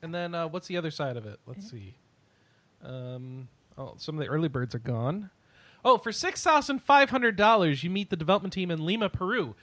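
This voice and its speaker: The high-voiced guy on a gaming podcast who swoops up and down as he riffs playfully on whatever his co-host brings up. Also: animated, fairly quick, a bit bright, dry recording. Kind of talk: casual, joking chat.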